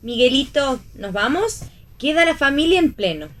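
A woman's voice: sits high, sing-song, normal speed, a bit bright. A woman playing a chirpy, joking family member in a radio play reading. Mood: playful. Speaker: actress in a read-theatre radio play.

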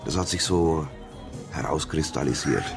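Very deep-voiced, slippery club manager. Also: male voice, moderate pace, vocal fry, over music. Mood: sly, evasive. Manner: sly, evasive, chuckling. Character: evasive, smirking male nightclub manager in Czech border region. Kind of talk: naturalistic radio drama acting.

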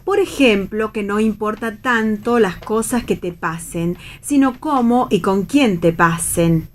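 A woman's voice: medium pitch, measured pace, slight background noise, lightly acted. A woman with an Argentine accent playing a wistful, indecisive young wife in a radio theatre reading. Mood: reflective. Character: Flora, indecisive wife, tender, wistful, quietly defiant. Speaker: actor in a radio play reading.

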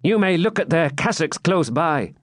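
A man voicing a loyal, wry medieval jester, raising his voice in a lively, animated way. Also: a little high, moderate pace, no room sound, studio quality, voice pushed up.

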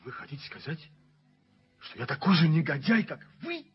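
An actor in an old radio play playing an earnest, proud young gentleman doctor who is easily abashed. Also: a little high, very animated, normal speed, slightly rough, heavily acted. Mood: indignant. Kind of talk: 1970s Soviet radio play acting. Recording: muffled old radio recording.

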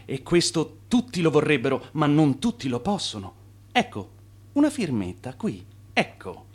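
Eager, theatrical young immigrant hustler. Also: male voice, a little high, normal speed. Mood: flattering, courtly. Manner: theatrical, flattering, flowery. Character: eager, smooth-talking young Italian immigrant hustler with grand airs. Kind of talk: stage-like radio drama acting.

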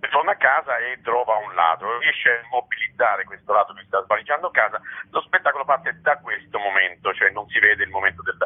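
Low-voiced man on a phone line. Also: expressive, fairly quick, slightly rough. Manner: reflective, explanatory, often tagging phrases with "no?". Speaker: playwright and theatre director being interviewed. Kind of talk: spontaneous interview answers. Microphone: telephone. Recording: muffled narrow-band telephone line.

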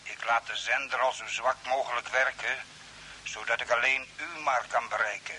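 An actor playing a mysterious, secretive stranger in an old radio drama, speaking in a measured, guarded way through a radio filter. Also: male voice, mid-range voice, fairly even intonation, bright, forceful tone. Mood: secretive, warning. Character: mysterious, secretive male stranger calling over space radio. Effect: radio transmission filter. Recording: thin radio voice with faint hiss.

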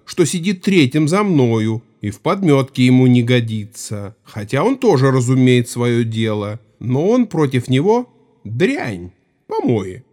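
An actor playing a rustic Cossack villager who spins an eerie tale in a folksy, emphatic way, in an audio play. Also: male voice, medium pitch, a little mellow. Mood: fond reminiscing. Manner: folksy, emphatic, exclamatory storytelling. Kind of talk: theatrical audio play acting.

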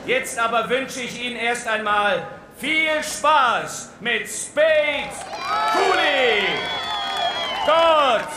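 An actor playing a bombastic, patriotic host of a charity gala in a radio drama, declaiming pompously to the room. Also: male voice, very high voice, expressive, very slow, exaggerated voice. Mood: pompous, rousing. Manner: declamatory, pompous public address. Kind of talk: German radio drama acting. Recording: echoey hall with noisy crowd.

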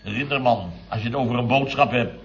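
An actor playing a blustering, aggrieved building contractor boss, telling of his mishaps with indignant, drawn-out emphasis in 1970s radio comedy style. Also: medium pitch, noisy background, close to an everyday voice. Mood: sardonic. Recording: dull old radio recording.

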